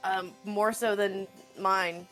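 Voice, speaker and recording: A woman's voice: mid-range voice, fairly even intonation, slow, fairly bright tone, faint music behind. A woman improvising in a casual tabletop role-playing game with a laconic, dryly understated manner.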